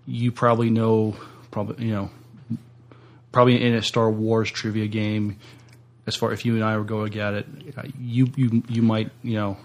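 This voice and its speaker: A man with a lowish, gravelly voice that stays quite monotone. He chats casually as a podcast co-host, hesitating and doubling back on his words. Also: moderate pace. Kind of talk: casual chat.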